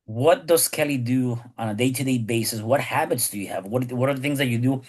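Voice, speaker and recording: Podcast host, man, chatty and rambling, middle-pitched voice. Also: a little fast, a little mellow. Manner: rambling, many repeated words and restarts. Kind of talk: casual podcast conversation.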